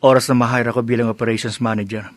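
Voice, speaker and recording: A man's voice: mid-range voice, little pitch movement, rapid-fire, no room sound, close to an everyday voice. A Filipino man acting a nervous, reluctant young adult son who speaks hesitantly and respectfully in a scripted drama. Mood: worried. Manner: hesitant, deferential.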